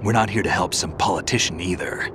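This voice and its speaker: An actor in a video game cutscene playing a gruff, gravelly, world-weary veteran soldier who talks tersely and dryly. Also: male voice, deep voice, fast, noisy background, natural voice. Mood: grave, resolute. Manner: terse, dry, unflappable.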